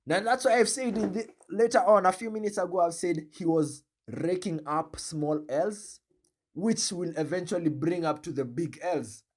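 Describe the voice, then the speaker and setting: A man with a Kenyan accent hosting a reaction video, commenting in an animated, joking way and switching between slang and English. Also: high-pitched, moderate pace, slightly rough. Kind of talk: commentary on a played clip.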